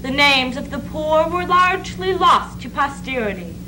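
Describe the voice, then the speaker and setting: A woman's voice: sits high, sing-song, normal speed. A woman performer reading scripted theatrical narration in a readers theatre piece. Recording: stage recording with loud hum.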